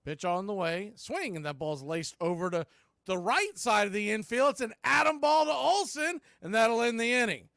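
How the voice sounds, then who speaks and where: Male baseball announcer, higher register, dry recording, radio play-by-play delivery. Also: animated, moderate pace, creaky, fairly bright tone, dry recording. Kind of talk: live radio-style baseball commentary.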